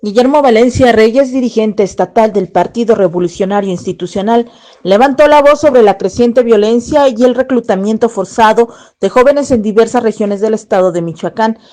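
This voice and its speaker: A woman's voice: on the high side, dark tone. A woman with a Mexican accent reading a scripted news report.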